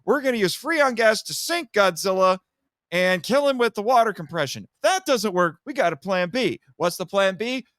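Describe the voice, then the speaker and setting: A man giving an animated, casual plot recap as a podcast guest, telling it dramatically and acting out the characters' lines. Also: sits high, normal speed, no echo, clean studio sound. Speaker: podcast guest reviewing a film.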